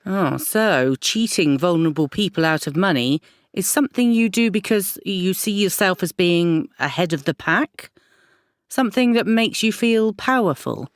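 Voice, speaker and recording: A woman playing a stern counsellor in an audio drama, measured and pointedly questioning. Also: fairly low pitch, expressive, no echo, studio quality, lightly acted. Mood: challenging, disapproving. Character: stern, probing female counsellor challenging a client.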